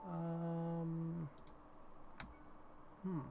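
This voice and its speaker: A man's voice: on the high side, monotone, very slow, creaky, muffled recording. A man chatting casually and commenting as he live streams a game, rambling a little and repeating phrases. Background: faint noise, keyboard typing.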